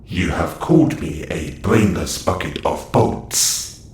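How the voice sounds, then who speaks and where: Man voicing a stern, deep, echoey robot warden. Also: animated, slow pace, soft background music. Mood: stern, disapproving. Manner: formal, clipped, scolding. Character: stern, formal male-voiced robot prison warden. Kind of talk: audio roleplay acting.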